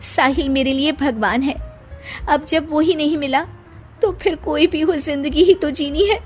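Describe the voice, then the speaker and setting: A woman voicing a gentle, sad, self-doubting young bride in a cartoon story, plaintive and resigned. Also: high-pitched, unhurried, faint music behind, pitched above the performer's own voice. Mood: hurt, resigned.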